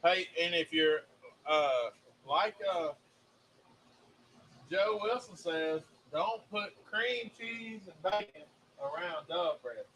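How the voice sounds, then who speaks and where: A Southern man with a high, bright voice chats in a folksy, rambling way as co-host. A faint hiss sits under the recording. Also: lively intonation, slow pace, rough recording. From American South. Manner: folksy, drawling, rambling storyteller. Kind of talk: casual chat.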